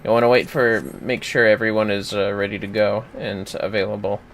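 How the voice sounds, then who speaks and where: A man's voice: lowish voice, flat delivery, fairly quick, a little hiss or hum. A man livestreaming gameplay, casually explaining tactics step by step with informal, sweary commentary over music. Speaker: livestreamer, gaming host. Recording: music playing behind the voice.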